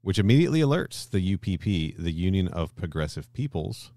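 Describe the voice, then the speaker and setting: A man with an extremely low voice co-hosts a casual film discussion podcast. He talks informally, swears easily and keeps checking in with questions. The sound is dry, with very little room in it. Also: very animated, a little fast, gravelly, dark tone, no echo. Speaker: podcast co-host.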